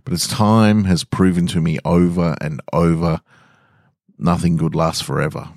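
An Australian man speaks in a notably deep voice. He hosts a story podcast, moving between casual talk and reading aloud. The sound is close and dry, with little room in it. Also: steady tone, unhurried, darkish tone, no echo. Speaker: podcast host reading short stories.

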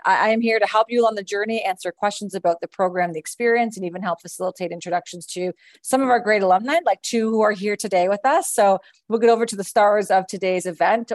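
A woman's voice: medium pitch, no room sound. A woman recruiter hosting an online information session, friendly and chatty with many fillers and restarts. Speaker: admissions recruiter and webinar host.

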